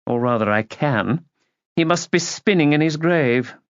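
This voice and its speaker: A man's voice: fairly high pitch, expressive, unhurried, no room sound, muffled recording, pitched above the performer's own voice. A man voicing a haughty, imperious upper-class woman in an audiobook, clipped, condescending and cutting. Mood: scornful. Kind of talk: audiobook character voice.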